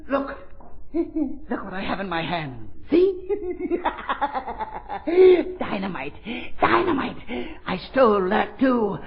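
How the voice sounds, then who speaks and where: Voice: mid-range voice, huge pitch swings, slow pace, long pauses, crowd noise, full character voice. An actor playing a crazed, lonely, cackling villain who repeats phrases gleefully in an old radio melodrama. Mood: gloating. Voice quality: cackling, creaky. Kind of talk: 1940s radio melodrama acting. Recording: muffled, echoey vintage radio recording.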